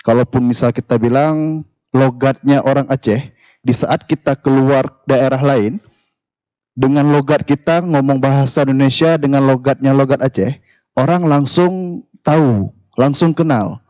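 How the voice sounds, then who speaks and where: A man's voice: mid-range voice, normal speed, warm tone, no echo. Earnest man asking rhetorical questions. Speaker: talk show guest. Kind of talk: radio discussion. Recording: dull dry radio broadcast sound.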